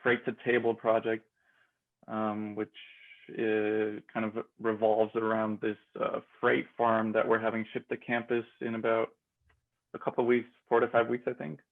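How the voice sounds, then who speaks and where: A young man from Austria answers interview questions, stopping and restarting often with plenty of fillers. His voice is gravelly and stays fairly level in pitch. The sound is narrow and tinny, like a phone line. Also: completely flat delivery, normal speed. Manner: hesitant, many fillers and restarts. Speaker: guest, MBA grad student. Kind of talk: casual interview.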